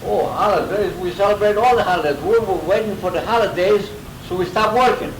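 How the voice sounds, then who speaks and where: An older man in his eighties, from Greece, recalls his early life in a rambling way, chuckling between memories. His voice sits a bit high for a man and is heard from well off the mic. The room rings, and a steady hiss runs under the home tape. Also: brisk, roomy sound, far from the mic, rough recording. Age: 80s. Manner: chuckling, rambling storyteller with restarts. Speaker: interviewee, grandfather. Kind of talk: casual oral-history interview.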